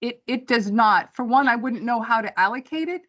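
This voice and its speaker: A woman's voice: on the high side, expressive, normal speed, fairly bright tone, muffled recording. A woman program director giving a practical, slide-by-slide financial report at a board meeting. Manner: plain, practical, walks through figures slide by slide.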